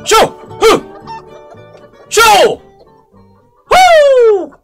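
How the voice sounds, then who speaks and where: A grumpy old Ilocano man in a comedy radio drama. His voice is forceful and high, and it leaps about wildly as he scolds and blusters in an Ilocano accent. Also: sing-song, drawn-out words, soft background music, heavily acted. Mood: angry, blustering. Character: cantankerous loud old man guarding his yard.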